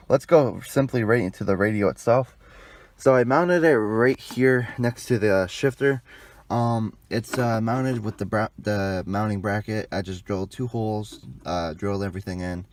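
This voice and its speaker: A young adult man giving a casual, informal and rambling hands-on walkthrough as a vlogger. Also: on the low side. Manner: informal, rambling, mild swearing. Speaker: vlogger demonstrating a car radio installation. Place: in and around a parked car outdoors.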